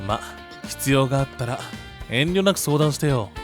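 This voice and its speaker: Guy voicing kind schoolboy big bro type, mid pitch, softly teasing, anime drama w music behind. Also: expressive, moderate pace, close to an everyday voice. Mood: gently teasing. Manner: gentle, teasing, reassuring. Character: kind, perceptive big-brotherly high school boy, drama-club actor. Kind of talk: Japanese anime-style voice drama acting.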